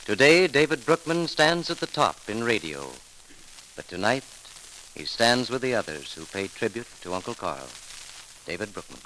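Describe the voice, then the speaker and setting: A man announcing a memorial tribute in a formal, measured, solemn 1930s radio style, on a vintage broadcast recording. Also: medium pitch, very animated, a bit bright, slight background noise, low-quality recording. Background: music.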